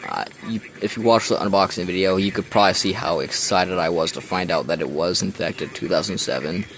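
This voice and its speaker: A man's voice: low-pitched, brisk, a bit bright, a little hiss or hum. A young man casually showing his radio collection in his bedroom, rambling with many ums, filmed point-of-view on a handheld camera. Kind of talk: casual show-and-tell.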